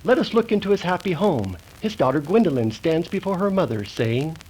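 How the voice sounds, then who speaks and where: A man's voice: on the high side, very animated, fairly quick, a little mellow, a little hiss or hum, low-quality recording. A man delivering dramatic scripted narration as the announcer of an old-time radio western. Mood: solemn.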